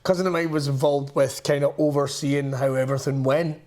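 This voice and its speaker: A man's voice: medium pitch, a little fast. A Scottish man co-hosting a podcast, ranting in a sweary, exasperated way during casual chat. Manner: animated, sweary, exasperated rants. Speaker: podcast co-host.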